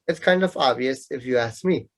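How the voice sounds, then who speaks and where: An actor playing a know-it-all young student in a radio play, lecturing pedantically and with some exasperation in a husky voice. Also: medium pitch, moderate pace, natural voice. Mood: pedantic. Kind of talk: amateur radio play acting.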